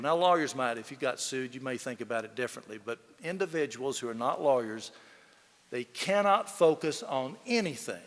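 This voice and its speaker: A man's voice: medium pitch, a bit bright. A man giving a eulogy, telling folksy anecdotes to an audience in an emphatic storytelling manner. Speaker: eulogy speaker, fellow lawyer. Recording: echoey hall with long reverb.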